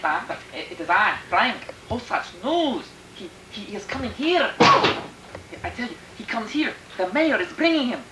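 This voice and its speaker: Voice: mid-range voice, very animated, a little slow. An actor playing a flustered, nervous male messenger in a radio drama, stammering with urgency. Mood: agitated, awed. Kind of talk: theatrical radio drama acting. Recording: noisy, echoey radio recording.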